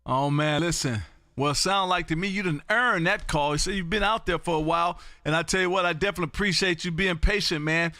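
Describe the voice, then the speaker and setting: An American man hosting a live amateur radio session, chatting and calling for contacts in an animated, folksy, booming way. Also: brisk, no echo.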